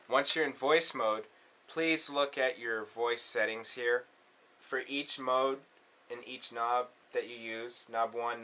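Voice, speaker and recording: Male tutorial presenter, casual and instructional, bright forward voice, mid pitch, walks through steps and checks in often. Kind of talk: casual step-by-step synth tutorial.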